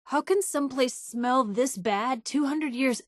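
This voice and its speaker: A woman's voice: high voice, a little slow, no echo, clean studio sound. An actress playing a plucky, sardonic young woman reporter in a post-apocalyptic video game, with a wry, sassy, informal way of talking. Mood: wry, observant. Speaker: video game companion character. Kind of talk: video game voice acting, conversational one-liners.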